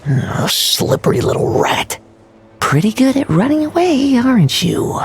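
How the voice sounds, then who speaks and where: A creaky, taunting game villainess. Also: female voice, high-pitched, very animated, unhurried, a little hiss or hum, heavily acted. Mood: mocking. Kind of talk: video game voice acting.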